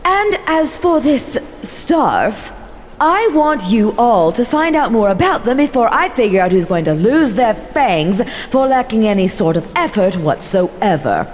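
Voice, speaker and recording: A woman's voice: on the high side, very animated, unhurried. A woman voicing an imperious vampire elder who addresses her clan in a formal, haughty, scolding manner in a fantasy role-play drama. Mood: angry scolding. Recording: long hall reverb, faint noise.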